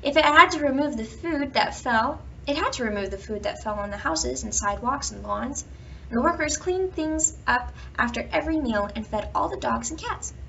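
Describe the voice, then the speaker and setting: A woman reading a children's picture book aloud, with expressive emphasis and drawn-out words in a storytelling manner. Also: high-pitched, gravelly. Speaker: children's bedtime story reader. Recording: dull, distant home recording with background noise.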